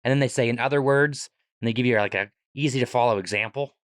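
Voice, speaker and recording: A man with a Pittsburgh accent, a guest on a podcast, explaining ideas quickly and animatedly and swearing casually. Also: medium pitch, clean tone, dry recording, studio quality. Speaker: guest, economics professor and comedian.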